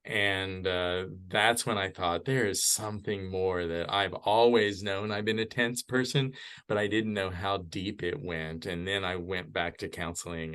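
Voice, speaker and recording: Middle-aged man, podcast guest, lowish voice, measured, thoughtful, personal, casual interview.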